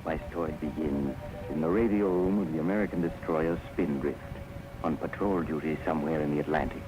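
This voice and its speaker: An actor playing a genial but sinister mystery host, narrating slowly and wryly in 1940s radio drama style. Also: male voice, very deep voice, over music. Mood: ominous, wry. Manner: slow, ominous, wryly confiding. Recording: scratchy, band-limited 1940s radio recording.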